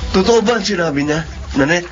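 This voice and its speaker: An actor playing an earnest, proud young boyfriend in a radio drama, with a husky voice. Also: male voice, high voice, expressive, fairly quick. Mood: hurt, suspicious. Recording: muffled lo-fi drama audio with music.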